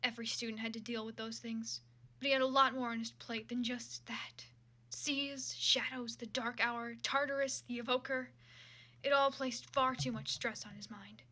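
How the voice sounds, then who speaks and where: A woman's voice: on the high side, fairly even intonation, moderate pace, bright, forceful tone. A woman reading fan fiction aloud with casual, irreverent and sometimes profane commentary.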